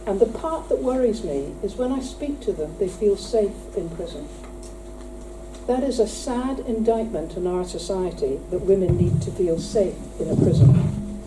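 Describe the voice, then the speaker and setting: A middle-aged woman with a loud, projecting voice gives a formal welcome speech at a conference, earnest and emphatic, with dry humorous asides. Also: medium pitch, animated, measured pace. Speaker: conference speaker opening the event. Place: conference hall. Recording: distant mic in a hall with faint hum.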